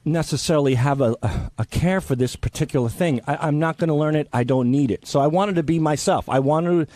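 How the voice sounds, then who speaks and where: A man's voice: fairly high pitch, brisk, warm tone, dry recording. A man in casual, profane radio banter, defending himself with bluster and stammered repeats. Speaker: radio show regular, interviewed guest.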